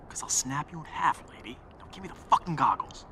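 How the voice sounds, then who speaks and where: A man's voice: on the high side, expressive, normal speed, pushed and bright. An actor playing a menacing scavenger man in an audio drama, threatening and taunting as he robs survivors. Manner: threatening, taunting, foul-mouthed. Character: menacing male scavenger robbing survivors for their gear. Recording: noisy scene, clearly audible background ambience.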